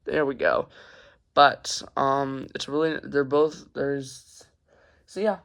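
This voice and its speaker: A man's voice: medium pitch, flat delivery, slow, vocal fry, fairly bright tone. A man hosting a casual, unscripted show-and-tell review at home, talking in a hesitant, rambling way into a handheld camera. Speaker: hobbyist video host. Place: carpeted room at home.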